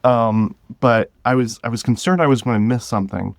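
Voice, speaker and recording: A man speaking as an interview guest, telling stories about directing in a casual, halting way with some chuckles. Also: mid-range voice, no room sound. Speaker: guest, director of an audio drama podcast.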